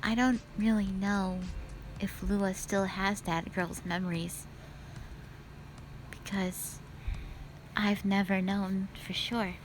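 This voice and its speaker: Witch sister for audio drama, played by a woman: slow, hesitant, reflective, quietly scheming, over soft music. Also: medium pitch, level tone, lightly acted, poor audio. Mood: wistful, solemn. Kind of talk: audio roleplay acting addressed to the listener.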